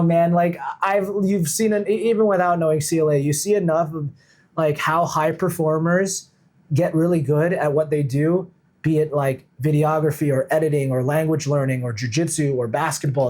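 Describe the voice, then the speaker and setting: A man's voice: a little high, fairly even intonation, normal speed, a little mellow. A man talking casually as an interview guest, explaining his points informally and emphatically like a coach. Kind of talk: casual conversational interview talk.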